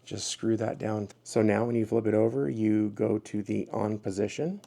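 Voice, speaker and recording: A man's voice: on the low side, steady tone, moderate pace, creaky, warm tone. A man giving a casual, hands-on product review as the host of his own video.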